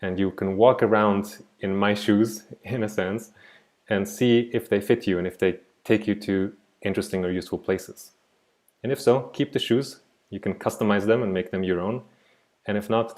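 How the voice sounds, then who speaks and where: A man in his thirties from Switzerland presents an art class over a video call. His low voice stays soft-spoken and reflective, and he wanders into side thoughts. It comes through a laptop mic. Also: fairly even intonation, moderate pace. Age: 30s. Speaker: art teacher.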